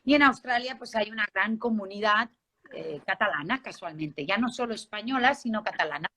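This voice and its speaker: A woman's voice: medium pitch, animated, fairly quick, pushed and bright. A woman with a Spanish accent from Barcelona, telling her life story informally as an interview guest, conversational and often checking in with the listener. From Spain, Barcelona. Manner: conversational, seeks agreement with tag questions.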